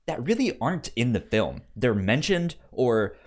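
A man chatting casually about a film as a podcast co-host, with a halting, stammering delivery. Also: medium pitch, big pitch swings.

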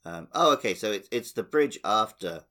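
Casting: male podcast host with a low-pitched voice, chatting informally. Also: expressive, normal speed, pushed and bright. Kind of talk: casual chat.

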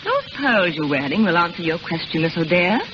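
An actress playing a haughty, accusing young society woman in 1930s radio drama, sharp and disdainful, on an old recording. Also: lowish voice, big pitch swings, fairly quick, lo-fi sound, background noise. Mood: scornful accusing.

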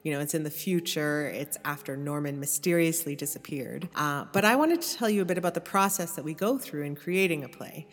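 Female podcast host, slightly low voice, explanatory narration over faint music. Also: fairly quick, hardly any pauses, big-room sound.

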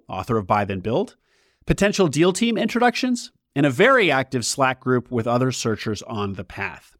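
Upbeat male podcast host, bright and animated, close dry mic. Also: a little high, dry recording. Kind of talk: scripted podcast intro and interview.

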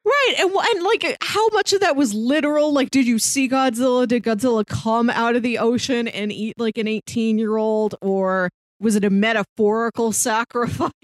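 A woman's voice: on the high side, normal speed, hardly any pauses, no room sound. Woman chatting casually in a dry-sounding space. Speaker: podcast co-host. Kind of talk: casual film discussion chat.

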